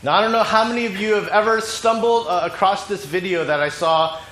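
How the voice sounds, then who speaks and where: A man preaching a sermon in short phrases, pausing for an interpreter, while battling a cold. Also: high-pitched, a bit bright. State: has a cold. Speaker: pastor. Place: church sanctuary. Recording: echoey church hall with faint ambience.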